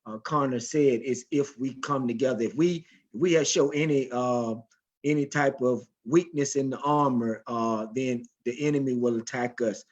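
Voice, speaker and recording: Earnest man, halting, on muffled call audio. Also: mid-range voice. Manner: earnest, halting, frequent uh's. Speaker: panelist. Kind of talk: panel discussion on a video call. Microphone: video call.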